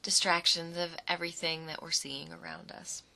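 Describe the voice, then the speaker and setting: A woman guides a relaxation exercise in a low voice with a noticeable creak. She takes her time, letting short, soothing phrases settle between pauses. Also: flat delivery, bright, forceful tone. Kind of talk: guided imagery meditation.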